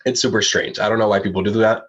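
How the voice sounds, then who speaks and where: A man's voice: on the low side, flat delivery, fast, a bit bright, lo-fi sound. A man, a pageant analyst who hosts a live online interview, talking in an enthusiastic, supportive way and often repeating words. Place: United States.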